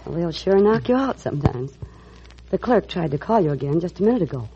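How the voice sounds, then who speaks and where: Helpful chatty maid, folksy, very husky, animated pitch, old radio drama, muffled recording. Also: female voice, a little fast. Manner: folksy, colloquial.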